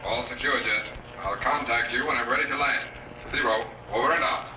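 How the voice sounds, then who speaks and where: An actor playing a commanding space captain in a 1950s sci-fi serial, speaking in clipped, authoritative radio procedure. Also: male voice, mid-range voice, normal speed, noisy background, close to an everyday voice. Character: commanding male space captain radioing his young assistant. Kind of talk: 1950s television serial acting. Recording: echoey distant radio-call sound, loud music.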